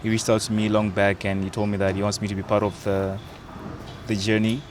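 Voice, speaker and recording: A young man, an actor and content creator, chatting playfully in an interview, unhurried and hesitant with fillers. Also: on the low side, flat delivery, a little hiss or hum. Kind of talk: casual interview chat.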